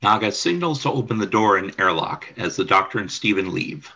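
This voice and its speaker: Narrator for audio drama: man, slightly low, raspy edge, bright forceful delivery of scripted action. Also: lively intonation, fairly quick, dull audio. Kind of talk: scripted radio play narration.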